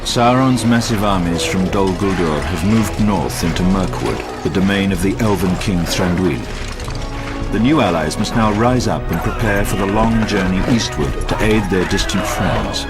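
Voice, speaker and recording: A man's voice: sits low, normal speed. A man delivering grave, solemn scripted narration in the style of a dramatic fantasy tale. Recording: background music under studio narration. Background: music.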